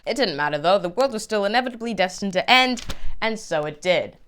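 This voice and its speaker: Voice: medium pitch, lively intonation, fairly quick, a bit bright, soft background music. A host telling comic stories in a theatrical, mock-solemn and emphatic way, with a put-on accent. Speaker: storytelling show host. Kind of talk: comedic storytelling with put-on accent.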